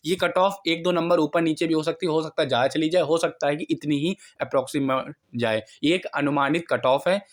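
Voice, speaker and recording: A man giving an informal, instructional explainer to students, repeating points and mixing in English words. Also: a little high. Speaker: exam analysis presenter, teacher.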